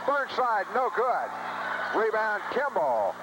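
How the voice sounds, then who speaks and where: Guy doing local radio basketball play by play, kinda high voice, clipped phrases, super noisy old tape. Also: slow. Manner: clipped phrases, excitable on big plays. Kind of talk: live high school basketball play-by-play commentary. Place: high school gym.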